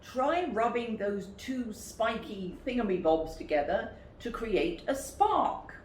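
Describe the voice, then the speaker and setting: A woman's voice: fairly high pitch, lively intonation, slow pace, voice pushed up. A woman voicing a bossy female hippo with big dreams, declaiming grandly in a storybook reading. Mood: commanding. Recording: roomy, distant, noisy recording.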